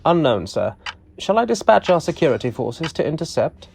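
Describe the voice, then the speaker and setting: An actor in a sci-fi audio drama playing a villain's dutiful assistant, formal and deferential. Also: male voice, fairly high pitch, very animated, moderate pace, creaky, a little mellow, soft background music. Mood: businesslike.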